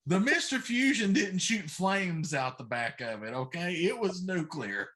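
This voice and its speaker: A man's voice: high-pitched, very animated, a little slow, bright, forceful tone. A middle-aged man co-hosting a casual chat show, speaking in an opinionated, emphatic way. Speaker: talk show co-host. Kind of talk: casual chat about films.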